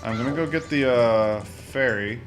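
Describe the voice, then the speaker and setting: A man's voice: medium pitch, normal speed. A young man hosting a let's-play, chatting casually and rambling with lots of fillers and self-corrections. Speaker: gaming channel host. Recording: game music playing behind voice. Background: video game music.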